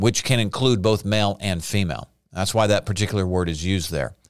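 A man's voice: lowish voice, smooth, no echo, clean studio sound. A man teaching from the Bible in a sermon, explaining Hebrew words with emphatic bursts. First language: not Hebrew.

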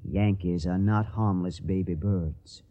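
A man's voice: low-pitched, steady tone, takes it slowly, mellow, highs cut off. A man playing an earnest, dutiful young farmer with a Southern accent in a radio drama. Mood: resolute.

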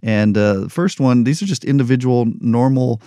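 A man's voice: mid-range voice, moderate pace, warm tone, no echo, studio quality. A man in his forties hosting a motivational podcast, talking candidly and unscripted with a joking touch. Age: 40s. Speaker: podcast host. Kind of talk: solo motivational podcast monologue.

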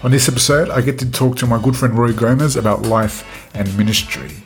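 A man hosting a casual podcast interview, relaxed and jokey, laughing easily. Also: medium pitch, brisk, reverberant, soft background music. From Central America. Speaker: podcast host.

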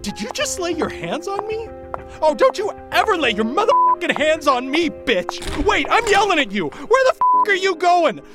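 A woman's voice: high voice, huge pitch swings, moderate pace. A woman playing a grandiose, foul-mouthed fashion designer with a haughty, berating, theatrical delivery. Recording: music behind.

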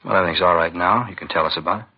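An actor playing a folksy, grumbling police detective in a 1950s radio drama, conversational and plaintive. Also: male voice, low voice, racing, breathy edge. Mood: reassuring. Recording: dull old radio recording.

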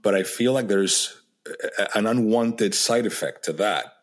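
Deep-voiced halting podcast host. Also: male voice. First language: Spanish. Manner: halting, repeats words while searching for them. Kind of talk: conversational podcast interview.

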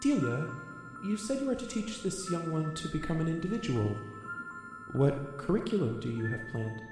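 A man playing a gentle, anxious young alien in a sci-fi audio drama, speaking formally in halting, measured phrases. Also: on the high side, big pitch swings, over music. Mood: hopeful, curious.

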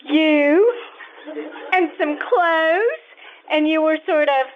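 A woman's voice: high-pitched, extremely slow. A woman playing a nosy young girl in an old-time radio play, coyly and hesitantly telling tales with dramatic relish. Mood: coy, tattling. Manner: coy, hesitant, storytelling. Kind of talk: old-time radio acting, live stage performance. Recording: thin, phone-like lo-fi stage recording.

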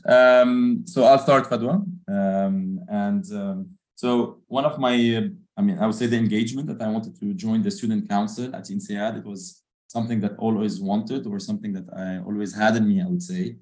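Deep-voiced man, halting. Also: fairly even intonation, a little mellow. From Lebanon. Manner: hesitant, many fillers and "I would say". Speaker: MBA student council member. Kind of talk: interview answers.

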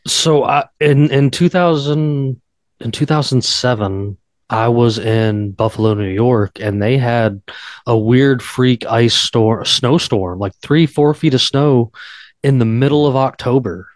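A middle-aged man chatting on a podcast as the guest, rambling and drawn out, with a slow, slurring way of talking. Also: medium pitch, no echo. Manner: rambling and drawn out, told he slurs. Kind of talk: casual podcast chat.

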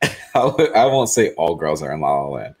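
This man speaks from the bottom of his range in a deep, flat, monotone way. He is blunt and dry, laying out his view plainly on a live talk show. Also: normal speed, background noise. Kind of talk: casual live show discussion.

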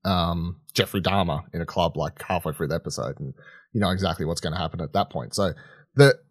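A man co-hosting a podcast, chatting casually and swearing freely, with a halting, rambling way of talking that often restarts mid-sentence. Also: deep voice, breathy edge, dry recording, studio quality. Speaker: podcast co-host reviewing film and TV. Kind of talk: casual unscripted chat.

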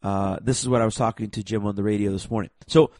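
A man with a raspy voice from a cold, hosting a program and talking forcefully to viewers, with heavy stress and stammered restarts. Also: on the low side, fairly even intonation, quick pace, dry recording. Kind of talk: emphatic monologue to viewers.

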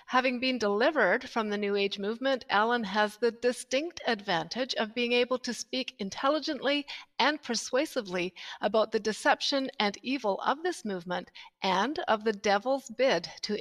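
A woman's voice: fairly high pitch, normal speed, fairly bright tone. A woman reading a prepared, formal introduction of a guest speaker on an online show.

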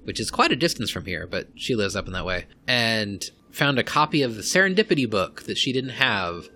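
A man's voice: fairly low pitch, sing-song, a little fast, pushed and bright, slight background noise. A man in casual group chat on a podcast, sarcastic and profane, often mocking others in put-on voices.

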